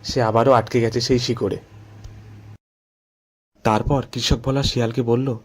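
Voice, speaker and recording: A man narrating a scripted children's story in an unhurried storytelling manner. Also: medium pitch, level tone, darkish tone.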